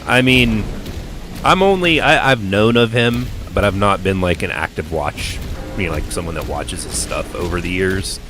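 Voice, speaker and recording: Low croaky guy chatting while he plays, kinda rambly, game music going behind him. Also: moderate pace. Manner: rambling, laid-back, self-correcting. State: hoarse, croaky from illness. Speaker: game streamer. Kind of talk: casual live gameplay commentary.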